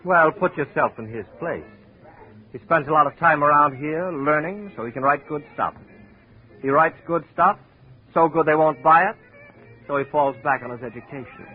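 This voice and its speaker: A man's voice: a little high, moderate pace, slightly rough, soft background music. An actor playing a cynical, fatalistic barroom man loyal to his friend in 1950s radio drama. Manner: sardonic, philosophizing. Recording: tinny old radio recording.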